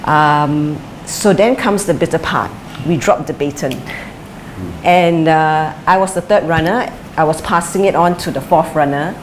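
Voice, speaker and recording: A middle-aged woman answering interview questions thoughtfully, pausing with fillers and restarting her sentences. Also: sits low. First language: English is her first language; Chinese is her second. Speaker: interviewee, former sprinter and coach. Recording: light background noise.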